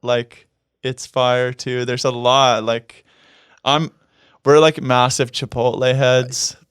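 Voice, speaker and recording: A man from Canada talks casually as an interview guest, rambling and leaning on fillers, laughing easily between thoughts. His voice is smooth and resonant, recorded dry and close in a studio. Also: mid-range voice, flat delivery, slow, fairly bright tone, no room sound. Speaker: guest musician. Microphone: studio microphone.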